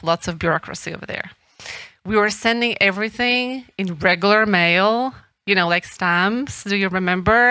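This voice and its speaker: A woman's voice: medium pitch, pushed and bright, no room sound. A woman lawyer giving a seminar presentation, explaining in a lightly self-deprecating way. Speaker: lawyer, head of legal department. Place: conference room.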